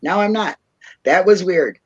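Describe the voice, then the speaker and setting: A woman's voice: lowish voice, expressive, measured pace, darkish tone, breathy edge, lo-fi sound. A woman from Minnesota with a Minnesotan accent, chatting casually as a livestream guest and laughing often. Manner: laughs often, jokey and folksy. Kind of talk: casual chat.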